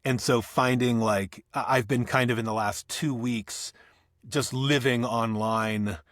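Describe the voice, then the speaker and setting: A man, an actor and audiobook narrator, talking as a podcast guest, thinking aloud with restarts and soft laughs. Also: mid-range voice, steady tone, a little slow, no room sound, studio quality. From Northeast Ohio. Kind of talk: conversational podcast interview.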